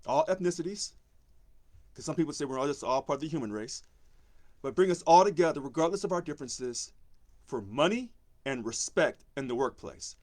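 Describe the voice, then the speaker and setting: Deep-voiced man giving an emphatic campaign pitch. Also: lively intonation, brisk, a little mellow. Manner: emphatic, repeats key phrases for stress. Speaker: union presidential candidate. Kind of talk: campaign speech on a live stream.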